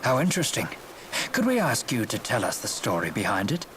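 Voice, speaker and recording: Courtly, genteel older gentleman. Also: mid-range voice, huge pitch swings. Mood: politely curious. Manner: formal, measured, polite. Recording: game audio with clear noise.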